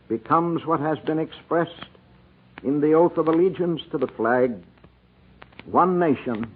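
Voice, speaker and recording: A man's voice: a little high, slightly rough. A man gives an earnest, emphatic, formally scripted address on 1940s radio. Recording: scratchy, narrow-band 1940s radio recording.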